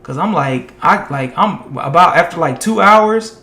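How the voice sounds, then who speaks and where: Man, live-stream host, casual and rambling, voice getting hoarse, fairly high pitch, roomy echo. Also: animated, measured pace, pushed and bright. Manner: loose and chatty, heavy on "like" and "man". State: voice tiring and going hoarse. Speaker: live-streaming tech content creator.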